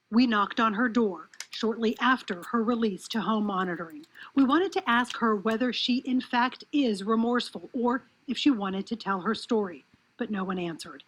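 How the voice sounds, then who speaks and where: A woman's voice: a little high, a little hiss or hum, low-quality recording. A woman with an American accent narrating a scripted television news report.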